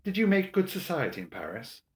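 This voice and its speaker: A man voicing an arrogant, mischievous young English gentleman in an audiobook, drawling and mocking, with drawn-out emphatic words. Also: fairly high pitch, very animated, roomy sound, voice pushed up. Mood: mock-sympathetic, scornful. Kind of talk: audiobook character dialogue.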